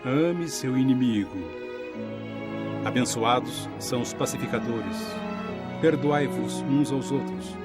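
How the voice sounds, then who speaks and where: An actor playing a thoughtful, devout man who narrates his letters in an animated Bible film, reflective, measured and earnest. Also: medium pitch, close to an everyday voice. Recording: loud music under the voice.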